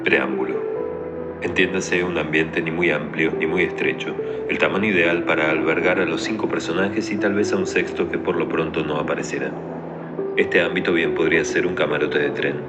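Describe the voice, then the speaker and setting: A man playing a solemn, deliberate narrator, reading scripted scene-setting for a radio play. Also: a bass voice, fairly even intonation. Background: loud music.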